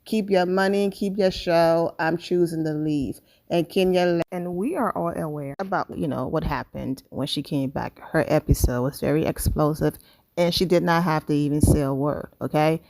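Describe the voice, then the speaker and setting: A woman hosts a celebrity gossip channel with a slightly low voice. She talks straight to her viewers in a chatty, emphatic way, as casual commentary. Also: normal speed, a little mellow.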